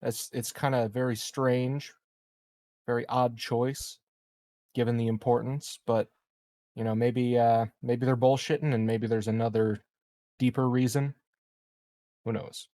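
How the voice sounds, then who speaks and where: A man's voice: mid-range voice, little pitch movement, unhurried, long pauses, mellow, no echo. A man speaking as a player at a game table, musing and making wry, sarcastic remarks. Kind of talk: casual in-character banter at a game table.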